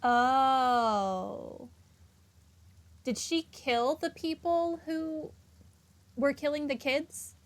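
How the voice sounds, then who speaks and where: A woman's voice: high voice, steady tone, very slow, pauses often. A woman doing casual playthrough commentary, musing aloud and reading dialogue as she goes. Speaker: gamer commentator.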